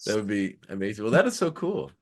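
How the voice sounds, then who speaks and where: Low-voiced man hosting a casual podcast. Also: sing-song, fast. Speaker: podcast host. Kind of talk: casual chat interview.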